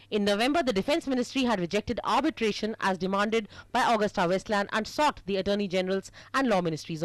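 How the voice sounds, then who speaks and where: A woman's voice: mid-range voice, dry recording, poor audio. A woman with an Indian accent reading a scripted television news report as a reporter.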